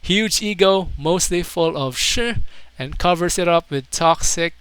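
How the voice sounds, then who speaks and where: A man's voice: high voice, a little slow, fairly bright tone, clear, resonant tone, no echo. A man doing casual video commentary, reading out online comments in an amused, mocking way.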